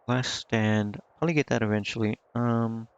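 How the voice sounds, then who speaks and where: A man streaming a video game, casually thinking out loud and reading menu text to himself, with some offhand swearing. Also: mid-range voice, fairly even intonation, moderate pace, clean tone, lo-fi sound. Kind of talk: casual live gameplay commentary, muttering and reading menu text aloud.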